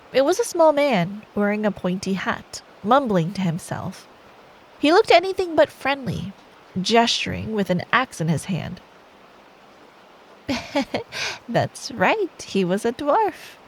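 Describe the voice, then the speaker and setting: A woman's voice: mid-range voice, poor audio. A woman telling a bedtime story in ASMR style as a girlfriend, sweet and playful, taking her time. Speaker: ASMR performer playing a girlfriend. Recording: faint rain noise behind. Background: soft rain sound effect.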